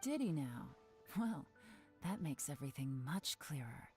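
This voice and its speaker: A woman voicing a composed adult female spy who investigates calmly and speaks in a measured, knowing way in a video game. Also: low voice, faint music behind. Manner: calm, measured, quietly knowing.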